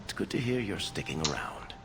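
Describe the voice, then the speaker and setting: A man's voice: low voice, lively intonation, fairly quick, crowd noise. An actor playing a streetwise, sardonic dwarf businessman and loyal sidekick in a video game, with a teasing, familiar manner. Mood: wry, teasing.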